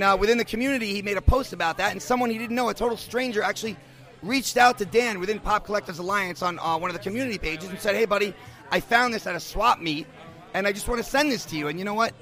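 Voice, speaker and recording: A man's voice: high voice, bright, forceful tone. A man working as a podcast correspondent, doing an enthusiastic on-location interview at a bar on a handheld recorder. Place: bar in New York.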